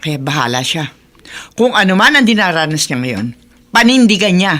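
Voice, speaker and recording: A woman's voice: fairly low pitch, big pitch swings, normal speed, a bit bright. An actress playing a cold, bitter, unforgiving mother, stern and dismissive, in a radio drama.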